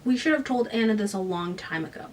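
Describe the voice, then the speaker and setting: A woman's voice: mid-range voice, animated, fast talker, far from the mic, natural voice. A woman voicing a wounded adult daughter who speaks with biting sarcasm, recorded a little way from the mic. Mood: bitter.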